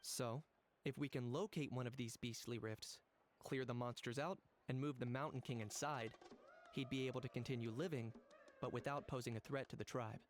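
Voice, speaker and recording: Man voicing a measured young game monster hunter. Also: mid-range voice, steady tone, slight background noise, close to an everyday voice. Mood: explaining. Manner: measured, matter-of-fact. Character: calm, businesslike young male monster hunter. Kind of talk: video game voice acting.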